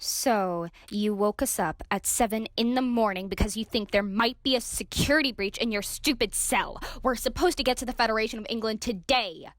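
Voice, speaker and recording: A woman's voice: fairly high pitch, moderate pace, hardly any pauses, no echo, natural voice. A woman playing an irritable, tired female passenger in an amateur audio drama, complaining. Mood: grumpy.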